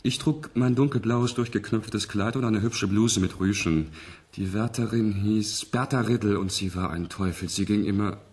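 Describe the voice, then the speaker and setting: A man in a German radio play playing a young test subject who relives memories in a trance, halting and wondering. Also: fairly low pitch, a little mellow, husky. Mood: wondering, confused. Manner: halting, searching, wondering delivery.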